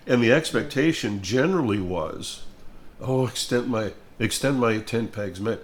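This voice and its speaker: A man's voice: mid-range voice, normal speed, a little hiss or hum. An older man in an earnest, reflective devotional conversation, speaking in a preachy way and repeating phrases for emphasis.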